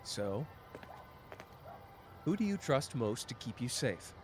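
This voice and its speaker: A man's voice: mid-range voice, very animated, slow pace, a little hiss or hum. A man voicing a smug, playful young schemer who teases and drawls in an audio drama. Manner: teasing, sly, drawling. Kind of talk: ASMR roleplay audio drama.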